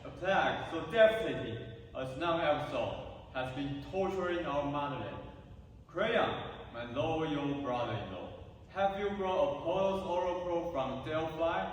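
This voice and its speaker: A man's voice: fairly high pitch, unhurried, a little hiss or hum, lightly acted. A man playing a proud, declamatory king in an echoing hall. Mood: grave. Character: proud, tormented king Oedipus, hot-tempered and grave. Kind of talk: stage reader's theatre. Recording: distant echoey hall, faint music.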